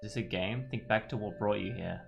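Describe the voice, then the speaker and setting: A man giving informal, halting let's play commentary as a gaming YouTuber, reading game text aloud as he goes. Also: on the low side, vocal fry, muffled recording, faint music behind.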